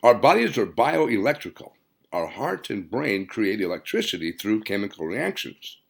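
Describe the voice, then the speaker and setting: A man voicing an earnest, wondering woman in an audiobook, speaking deliberately. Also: on the low side, big pitch swings, gravelly, full character voice. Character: earnest woman sensing strange new powers. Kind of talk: audiobook character dialogue.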